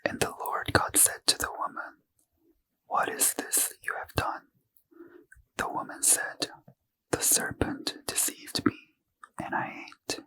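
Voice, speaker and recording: A man reading a bedtime scripture story in ASMR style, in a soft unvoiced whisper. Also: slow, long pauses, poor audio. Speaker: ASMR reader.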